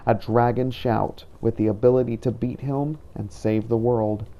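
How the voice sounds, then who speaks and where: A man voicing a brooding vampire hunter who narrates his journal in a solemn, measured way for a fantasy game roleplay. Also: medium pitch, steady tone, mellow, lo-fi sound, close to an everyday voice. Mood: pensive, anxious.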